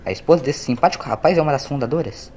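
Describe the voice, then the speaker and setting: A man plays an eccentric little male doctor who travels through time. He is breezy and curious, in a science fiction audio story with clear background noise. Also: on the high side, rapid-fire, a little mellow, natural voice. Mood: breezy curiosity.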